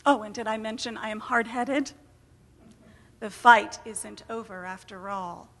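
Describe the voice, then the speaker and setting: A woman's voice: fairly high pitch, measured pace, fairly bright tone. An American woman giving an emphatic, theatrical dramatic reading of a first-person story. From United States. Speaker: reader at a storytelling event. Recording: reverberant hall.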